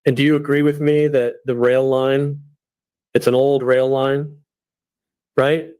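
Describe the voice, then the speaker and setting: A synthetic male voice playing a defense attorney, questioning a witness in a measured, methodical way. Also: mid-range voice, level tone, darkish tone, clear, resonant tone, dry recording, lightly acted. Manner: measured, methodical cross-examination. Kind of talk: synthetic courtroom reenactment.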